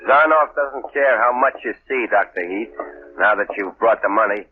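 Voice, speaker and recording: An actor doing a gruff gangster henchman. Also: on the low side, moderate pace, slight background noise, natural voice. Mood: bossy. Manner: curt, bossy, threatening. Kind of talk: 1940s radio acting. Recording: tinny old radio broadcast.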